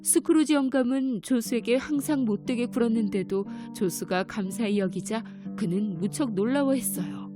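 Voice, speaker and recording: A woman's voice: on the high side, normal speed, hardly any pauses. Woman narrating a children's story. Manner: gentle, clear storytelling. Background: music.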